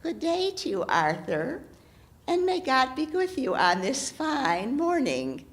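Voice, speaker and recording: A woman's voice: high-pitched, huge pitch swings, voice pushed up, full character voice. Sly old hag, as a woman storyteller puts her on. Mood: sly, teasing. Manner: coaxing, drawn-out teasing lines. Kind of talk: oral storytelling.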